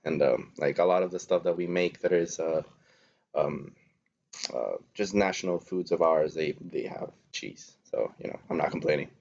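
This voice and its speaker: A man's voice: very deep voice, moderate pace, gravelly, darkish tone. A young man from Venezuela chatting as a podcast guest, rambling and hesitant. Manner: rambling, many fillers and restarts. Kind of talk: casual chat. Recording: dull lo-fi recording.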